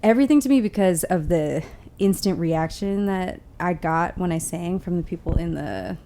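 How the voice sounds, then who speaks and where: A woman's voice: lowish voice, mellow. A woman from Wisconsin, a singer guesting on a podcast, talking in an easygoing, chatty way about her background. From Wisconsin, USA. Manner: easygoing, chatty, quick to laugh along. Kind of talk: casual chat.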